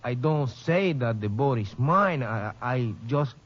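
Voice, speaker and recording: Nervous boatman, broken english, halting, muffled old radio sound. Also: medium pitch, lively intonation. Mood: anxious. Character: nervous Mexican boatman, eager to please. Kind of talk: 1950s radio drama acting. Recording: dull lo-fi old radio recording.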